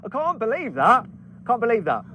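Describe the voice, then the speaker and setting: A man with an English Midlands accent hosting a car vlog outdoors on a runway, excitable and laughing often. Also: very high voice, moderate pace, a little hiss or hum. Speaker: car reviewer and host.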